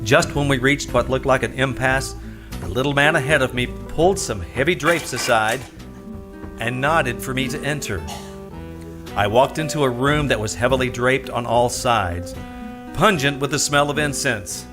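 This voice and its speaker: An actor playing a cynical, wisecracking private detective in an old-time radio noir drama, casual and sardonic. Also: male voice, medium pitch, moderate pace, music in the background, natural voice. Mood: noir narration.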